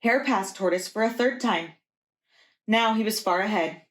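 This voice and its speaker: A woman's voice: medium pitch, normal speed. Woman reading a children's storybook aloud. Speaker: preschool teacher reading a picture book.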